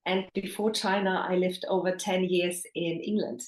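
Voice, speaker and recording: Woman coach from germany, english, calm explaining tone, kinda low, roomy echo. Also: normal speed. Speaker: intimacy coach guest. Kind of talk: interview answers.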